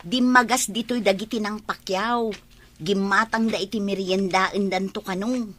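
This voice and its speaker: A woman's voice: mid-range voice, steady tone, normal speed, a bit bright, lightly acted. A woman with a Filipino Ilocano accent playing a nosy, gossiping village neighbour in a radio drama. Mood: prying.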